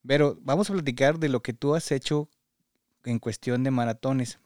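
A man with a Mexican Spanish accent speaks as a podcast host in a conversational interview style. His voice sits at a medium pitch, and the sound is dry and close. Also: moderate pace, a little mellow, no room sound.

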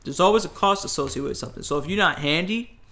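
A man's voice: on the high side, expressive, fast, pushed and bright. A man, giving blunt advice in a casual chat, emphatic and rambling with restarts. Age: 20s.

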